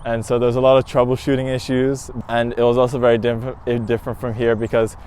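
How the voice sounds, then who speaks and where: A teenage high school student answering interview questions casually, with frequent pauses and fillers. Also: male voice, a little hiss or hum. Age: teens. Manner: relaxed, hesitant with fillers.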